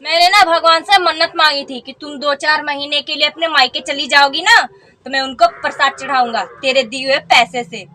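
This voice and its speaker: A sly husband coos false endearments while plotting to see his wife leave, voiced by a woman. The voice stays high and bright, with a lilt. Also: fairly even intonation, moderate pace.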